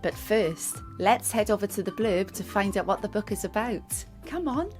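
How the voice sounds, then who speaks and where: A woman reading a picture book aloud to young children, expressive and speaking straight to her listeners. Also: mid-range voice, normal speed. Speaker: storyteller and teacher reading to children. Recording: music under the voice. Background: music and sound effects.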